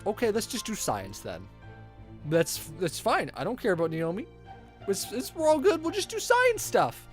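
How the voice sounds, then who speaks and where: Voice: mid-range voice, wildly swooping pitch, normal speed, soft background music. A game streamer reading a visual novel aloud in a playful, theatrical way, stumbling over the text and reacting casually.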